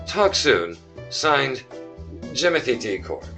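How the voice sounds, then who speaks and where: A man's voice: mid-range voice, sing-song, slow pace, gravelly, bright, forceful tone, soft background music, full character voice. A man playing a self-important, dim starship captain, theatrical and blustering, in a comic sci-fi radio serial.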